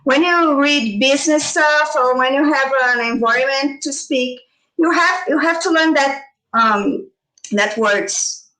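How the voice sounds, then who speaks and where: High, bright Brazilian woman on a video call. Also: unhurried, roomy sound, recorded from a distance, poor audio. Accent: Brazilian Portuguese. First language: Portuguese. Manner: hesitant, searching for words, restarts. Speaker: tarot teacher, panel guest. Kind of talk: casual online panel chat.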